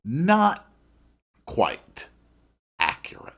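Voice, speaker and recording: A man's voice: mid-range voice, huge pitch swings, extremely slow, a bit bright, slightly rough. A man reading a visual novel aloud as first-person narrator, dramatic and emphatic. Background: music.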